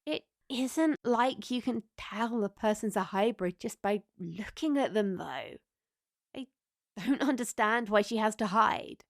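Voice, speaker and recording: A woman's voice: on the high side, expressive, measured pace, no room sound, clean studio sound. A woman acting a skeptical, questioning cafe customer in a fantasy audio drama. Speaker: voice actor in a fiction podcast.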